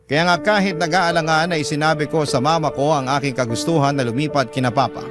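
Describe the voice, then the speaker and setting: Male Filipino narrator, fairly high voice, scripted first-person drama storytelling over clear music. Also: quick pace. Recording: music behind the voice.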